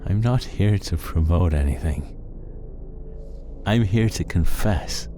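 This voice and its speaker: A man voicing a coldly intense, menacing male killer in a horror audio story, speaking deliberately with ominous pauses. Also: low-pitched, expressive. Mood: cold menace. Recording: loud music under the voice. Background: music.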